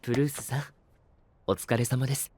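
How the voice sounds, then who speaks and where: A male actor playing a polite, observant young gentleman in an audio drama, speaking formally and considerately. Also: mid-range voice, a little fast, noisy background, lightly acted. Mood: polite concern. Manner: courteous, formal. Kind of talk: Japanese anime-style audio drama acting.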